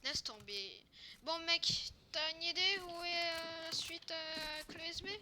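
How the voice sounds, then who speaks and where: A woman playing an eager, bossy young survivor in an improvised amateur zombie drama, with hesitant, halting delivery. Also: extremely high pitch, pushed and bright, a little hiss or hum, heavily acted. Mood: puzzled. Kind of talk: improvised amateur role-play acting.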